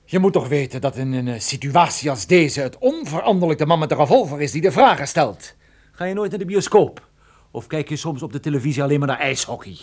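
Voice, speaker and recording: An actor playing a tough, sardonic private detective in a radio drama, menacing and dryly sarcastic. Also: male voice, on the high side, lively intonation, fairly quick. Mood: sarcastic, taunting. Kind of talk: radio drama acting with first-person narration.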